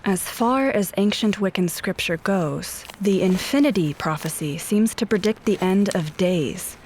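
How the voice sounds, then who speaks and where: An actress playing a guarded, haunted police officer in a scripted audio drama, speaking in terse, brooding, deliberate phrases. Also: female voice, mid-range voice, a little mellow, a little hiss or hum, lightly acted, poor audio. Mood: pensive, serious. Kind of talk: scripted audio drama acting with first-person narration. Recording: voice over prominent music bed. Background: dramatic music.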